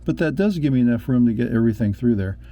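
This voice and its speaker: A man's voice: mid-range voice, expressive, fast talker, warm tone, muffled recording. A man thinking aloud as he works through a boat repair, in casual DIY narration. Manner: thinking aloud while working. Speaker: boat owner doing a repair. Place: on a small boat.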